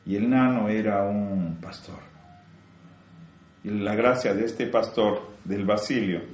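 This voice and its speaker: Male spiritual teacher, low voice, echoey room, slow emphatic delivery, rhetorical questions. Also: darkish tone, slight background noise. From Peru. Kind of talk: spiritual teaching talk to a group.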